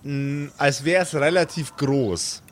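A man narrating an improvised role-play story as game master, measured and pausing to find his words. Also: on the high side, crowd noise.

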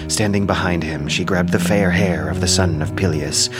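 A man's voice: low voice, fairly even intonation, normal speed. Man narrating an epic over music.

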